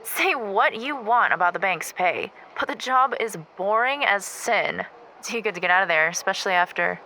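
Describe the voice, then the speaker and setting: A woman playing a blunt, cheeky, loyal best friend in a naturalistic audio drama, teasing and expressive. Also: mid-range voice, moderate pace, slight background noise, poor audio. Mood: chatty.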